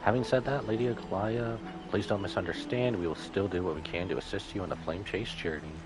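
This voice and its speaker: A man's voice: low voice, close to an everyday voice. An actor playing a courteous, cautious and reserved young male companion in a video game. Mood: cautious, diplomatic. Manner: polite, measured, reserved. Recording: game music behind.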